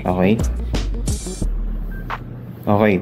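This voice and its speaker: A man with a Filipino accent giving a casual hands-on tutorial as a vlogger, halting as he searches for words and mixes in English terms. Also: a little mellow, soft background music.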